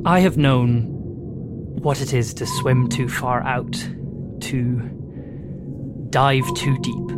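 A performer playing a weary, haunted submariner in a halting, reflective audio drama monologue. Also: medium pitch, steady tone, close to an everyday voice. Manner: halting, reflective, deliberate pauses. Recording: music under the voice. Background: music.

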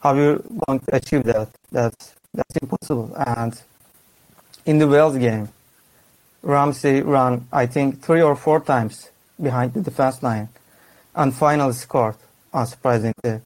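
A man, a football fan guest, giving a measured, subdued, detailed analysis of his team's poor games. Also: mid-range voice, darkish tone. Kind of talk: analytical casual chat.

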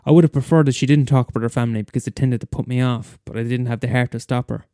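A man's voice: medium pitch, fairly even intonation, rapid-fire, mellow, clean tone, no echo, studio quality, close to an everyday voice. A man playing a bitter, weary middle-aged schoolteacher in a wry, wordy confessional story monologue. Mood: uneasy, resigned. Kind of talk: first-person dramatic monologue.

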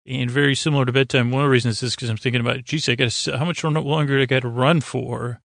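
Creaky voiced guy doing a rambly bedtime podcast, kinda stumbles over words, meanders a lot, really dry sound. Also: mid-range voice, steady tone, very fast, fairly bright tone, no echo. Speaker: podcast host.

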